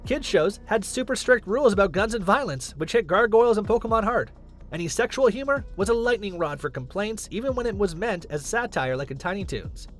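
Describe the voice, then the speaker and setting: A man's voice: sits high, animated, a little fast, faint music behind. A man delivering scripted countdown narration in an explanatory, emphatic way. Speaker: video narrator, list countdown host.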